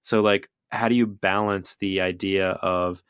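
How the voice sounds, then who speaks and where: A man hosting a podcast, talking in a relaxed, casual way with frequent laughs and filler words. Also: low-pitched, measured pace, clean tone, no echo, clean studio sound. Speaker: podcast host. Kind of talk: casual interview chat. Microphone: remote call recording.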